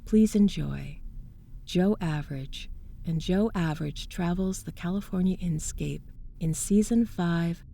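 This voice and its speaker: A woman's voice: fairly low pitch, unhurried, gravelly, dark tone. A woman host and voice actor reading a scripted introduction and credits for an audio drama.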